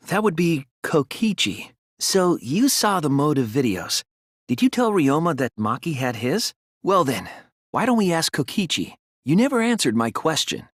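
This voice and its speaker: An earnest young male detective from an anime video game. His voice is husky and swings widely in pitch as he reasons things through with deliberate care. The recording is dry and close, with no room sound. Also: no room sound. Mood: hesitant. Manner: earnest, deliberate, deductive, sometimes hesitant. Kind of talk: dramatic anime game dialogue.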